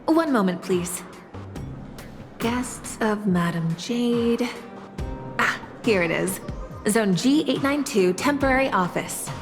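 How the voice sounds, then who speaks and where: A woman as an officious, mid-pitched announcer. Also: lightly acted. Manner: measured, officious. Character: formal, procedural female station announcer and receptionist. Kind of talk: video game voice acting.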